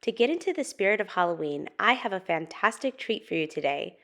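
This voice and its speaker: A woman hosts a read-aloud for young children. Her delivery is expressive and very animated, moving up and down in pitch as she speaks straight to her young audience. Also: fairly quick, creaky. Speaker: storytime host and narrator.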